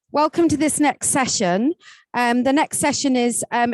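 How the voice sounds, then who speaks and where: A woman's voice: fairly high pitch, no room sound, rough recording. A woman from England, a council leader, opening and moderating a policy panel with a formal welcome and frequent ums. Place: conference hall. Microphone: table microphone.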